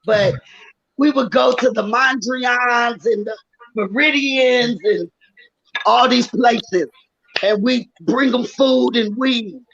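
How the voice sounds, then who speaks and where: A woman in her forties with a raspy, worn voice, telling stories loudly and with animation in a casual live-stream chat. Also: on the high side, takes it slowly. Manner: loud, animated storytelling. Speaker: guest rapper. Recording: dull lo-fi stream audio.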